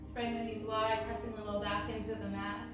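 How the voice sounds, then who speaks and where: A woman teaches a yoga class in a higher-pitched voice. Her manner is gentle and encouraging as she gives step-by-step guidance. She sounds set back from the mic in a very reverberant space. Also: little pitch movement, a little fast. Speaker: yoga instructor. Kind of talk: guided vinyasa yoga class. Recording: very echoey, distant, music playing. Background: music.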